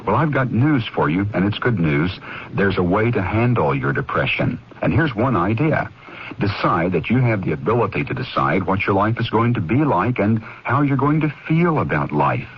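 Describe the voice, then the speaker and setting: A man's voice: lowish voice, sing-song, moderate pace, slightly rough. A man hosting an inspirational radio spot, giving scripted advice straight to the listener. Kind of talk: scripted direct-address advice. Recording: muffled old radio broadcast.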